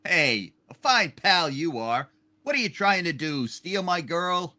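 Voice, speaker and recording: A man's voice: fairly high pitch, very animated, a little slow, pushed and bright, exaggerated voice. A man playing a brash, jovial young businessman, boastful and hammy, in an amateur radio play reading. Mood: hearty.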